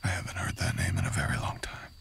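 A man playing a menacing, bitter, seductive samurai in an intimate ASMR roleplay, husky and breathy, often dropping into a whisper, with a taunting manner. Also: a bass voice, big pitch swings, quick pace, fairly bright tone, heavily acted. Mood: guarded, cold.

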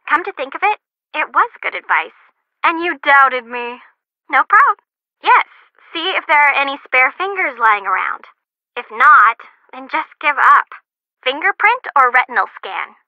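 High-pitched, snarky young student. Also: female voice, dry recording, lo-fi sound. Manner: sarcastic, snarky, dramatic. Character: sarcastic young female student, snarky and grudgingly helpful. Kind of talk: video game voice acting, phone dialogue.

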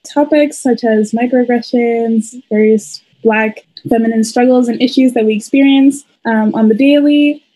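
A young woman from Sweden co-hosts a podcast in a relaxed, casual way. Her voice is fairly high, soft-toned and noticeably breathy. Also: normal speed, few pauses, low-quality recording. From Sweden, some years in Canada. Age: around 18-20. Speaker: podcast co-host.

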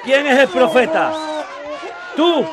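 High-pitched, taunting mob agitator. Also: male voice, very animated, slow, full character voice. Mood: goading, scornful. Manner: shouting, taunting. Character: hostile mob agitator, goading and self-righteous. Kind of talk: Spanish-language radio drama acting. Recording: crowd noise behind.

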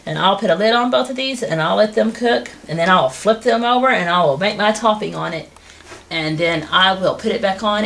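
A woman in her thirties hosting a cooking show, explaining each step in a plain, homey, unhurried way in a rustic wooden kitchen. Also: medium pitch, fairly bright tone. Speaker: home cooking show host. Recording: noisy home video with kitchen clatter.

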